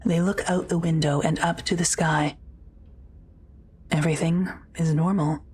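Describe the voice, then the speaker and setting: A woman's voice: low voice, fairly even intonation, a little slow, darkish tone, breathy edge. A woman reading scripted present-tense narration for an audio drama, as the narrator.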